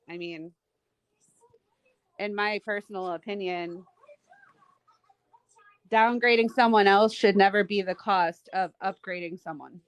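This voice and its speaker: A woman's voice: mid-range voice, clean tone, dry recording, rough recording. Woman guest, casual and hedging, dry close-mic sound. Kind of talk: casual chat.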